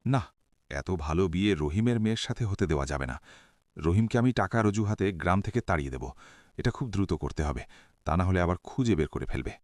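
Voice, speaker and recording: A man's voice: low-pitched, expressive, a little fast, darkish tone, dry recording, studio quality. A man playing a spiteful, scheming village landlord father in a cartoon, speaking in a dramatic, plotting manner. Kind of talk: Bengali cartoon voice acting.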